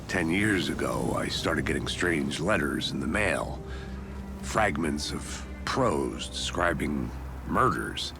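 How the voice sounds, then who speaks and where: Game detective, extremely low voice, measured, deadpan, guarded, over clear music. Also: male voice, exaggerated voice. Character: weary, guarded male detective confessing past cases. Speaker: FBI detective. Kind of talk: cinematic video game dialogue. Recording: music under the voice.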